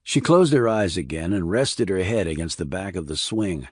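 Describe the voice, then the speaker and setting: A man narrates a thriller audiobook in a fairly low voice. The recording is dry, with very little room sound, and the delivery is scripted storytelling. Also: animated, moderate pace, breathy edge, no echo.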